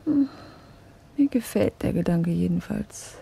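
An actress playing a wistful young wife in a radio play, speaking in a hushed, husky, intimate and musing way. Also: female voice, lowish voice, expressive, unhurried, exaggerated voice. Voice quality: husky, breathy. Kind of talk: German radio play dialogue.

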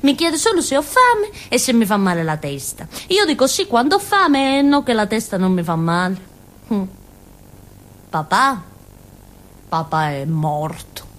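An actress playing a naive, childlike village simpleton girl with a Calabrian accent, in a halting, repetitive theatre monologue. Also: mid-range voice, sing-song, close to an everyday voice. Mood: earnest, simple. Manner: simple, repetitive, halting, with questioning tags and giggles. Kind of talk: theatrical monologue in colloquial dialect-tinged Italian.

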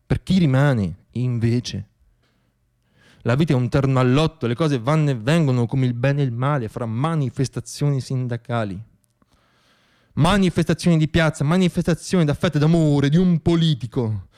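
A man performing a scripted radio theatre monologue as storyteller, with dramatic, deliberate phrasing and pauses. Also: mid-range voice, darkish tone.

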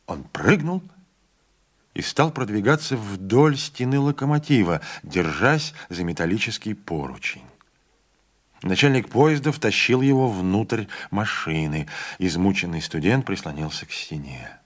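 A man reading a classic novella as an audiobook narrator, measured and dramatic. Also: lowish voice, animated. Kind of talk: literary narration.

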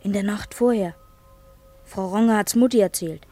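Woman playing young village girl, relaxed dark timbre, mid pitch, matter-of-fact secret-sharing, radio play. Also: moderate pace, no room sound, faint music behind, close to an everyday voice. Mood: secretive, sober. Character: young village girl quietly sharing what she overheard. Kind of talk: German radio play acting.

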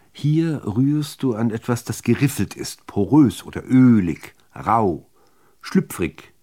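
A man reciting literary poetry in deliberate, measured phrases with pauses between them. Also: on the low side, a little mellow. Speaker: reader of poetry.

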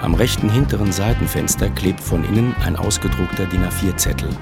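A man with a very deep voice delivers scripted factual updates as an announcer. Loud music runs behind the narration. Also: brisk, creaky.

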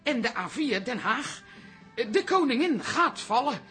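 A woman playing a chatty, excitable older toilet attendant in a radio play, flustered and stammering. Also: a little high, measured pace, faint music behind.

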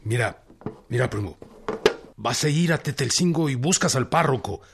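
Animated rural Mexican peasant leader. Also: male voice, medium pitch, moderate pace, vocal fry, husky. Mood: decisive. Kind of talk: Spanish-language radio drama acting.

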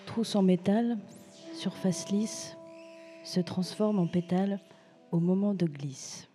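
A woman's voice: medium pitch, takes it slowly. A young woman reading poetry as spoken word in fragments, lingering on single words. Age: young adult. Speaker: student writer reading collective texts. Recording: guitar music clearly behind voice. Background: plucked guitar.

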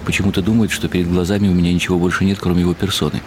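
An actor playing a world-weary writer who narrates a literary radio drama in a wry, ironic, musing way. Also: male voice, low-pitched, level tone, rapid-fire, background noise, natural voice. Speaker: narrator and lead character.

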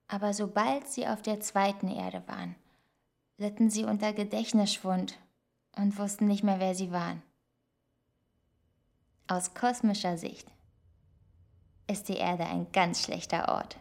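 An actress playing a reflective, melancholy storyteller, musing in a measured radio drama monologue. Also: female voice, mid-range voice, darkish tone, natural voice. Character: reflective, melancholy female storyteller of cosmic tales.

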